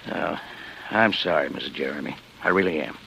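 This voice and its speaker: An actor playing a wry, skeptical homicide lieutenant in a 1940s radio drama, with a sardonic, needling, colloquial delivery. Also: male voice, very deep voice, fairly quick, gravelly, sharp tone, slight background noise, heavily acted. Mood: apologetic. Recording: dull, lo-fi vintage radio recording.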